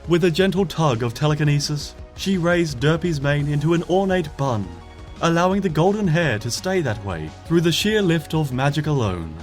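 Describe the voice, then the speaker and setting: A man reading a story aloud in a soft, gentle narrating voice. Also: on the high side, expressive, moderate pace. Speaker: fanfiction reader. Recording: faint music beneath the voice.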